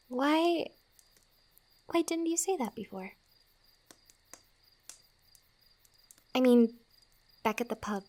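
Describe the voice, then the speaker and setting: A woman acting a playful, guarded young forager in a fantasy ASMR roleplay, with a teasing, halting delivery. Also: on the high side. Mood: soft, grateful. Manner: teasing, halting, breathy little laughs.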